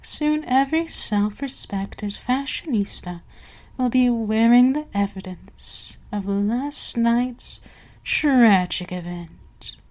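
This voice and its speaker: A woman playing an imperious, seductive and menacing vampire queen in an ASMR audio roleplay, haughty and deliberate, with some words sinking into a whisper. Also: on the high side, poor audio. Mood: smug, gloating. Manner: slow, deliberate, haughty, commanding, dropping into whispers.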